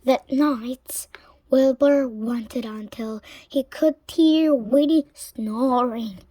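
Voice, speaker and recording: Slow, high-voiced woman reading a storybook. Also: warm tone, no echo. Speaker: storybook reader. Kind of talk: children's story read aloud.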